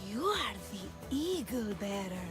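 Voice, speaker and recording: A woman playing a composed, earnest village doctor in a video game drama, measured and polite in manner. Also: mid-range voice, very animated, over music.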